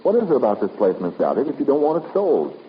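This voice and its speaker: An actor playing a brash, skeptical private investigator in a 1950s radio drama, informal and wisecracking. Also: male voice, medium pitch, huge pitch swings, fast talker. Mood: curious, joking. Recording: muffled, echoey, noisy old radio recording.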